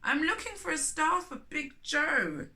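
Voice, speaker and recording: Woman voicing an eager, animated little rocket girl. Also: sits high, a little slow, voice pushed up, exaggerated voice. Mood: defiant. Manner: exclamatory, boastful, insistent.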